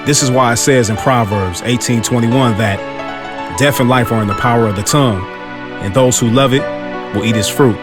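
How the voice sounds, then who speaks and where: A man's voice: medium pitch, moderate pace, music in the background. A man narrating a scripted motivational story in an earnest way, with hip-hop street slang.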